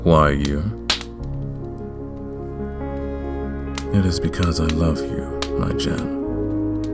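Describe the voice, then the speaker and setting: Vampire king, acted by a man: extremely low, unhurried, courtly and commanding, smitten, for romantic ASMR roleplay. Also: creaky, heavily acted. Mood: ardent, possessive. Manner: formal, courtly, deliberate.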